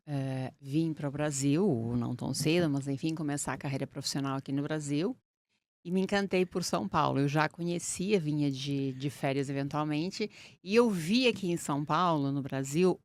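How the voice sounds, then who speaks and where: A middle-aged woman from Portugal, a lawyer speaking as an interview guest in an earnest, deliberate way. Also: low-pitched, dry recording. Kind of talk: interview conversation.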